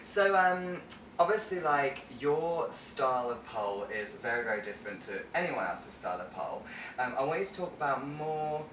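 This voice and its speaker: A man's voice: mid-range voice, very animated, moderate pace, a bit bright. A British man hosting a casual, chatty interview in a playful, exclamatory way. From United Kingdom. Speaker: interview host. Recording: roomy, distant mic, faint background noise.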